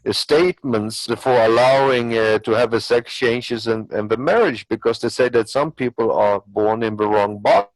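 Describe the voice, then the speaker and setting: A man's voice: mid-range voice, normal speed, hardly any pauses, no room sound, rough recording. A man who is not a native English speaker, arguing his opinions in an unscripted discussion in a rambling, repetitive way. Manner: rambling, repetitive argument with hypothetical examples. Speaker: panelist.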